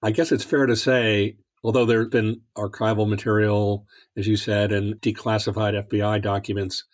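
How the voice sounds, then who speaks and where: Male interview host, lowish register, thoughtful and conversational delivery. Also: flat delivery, normal speed. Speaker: podcast host.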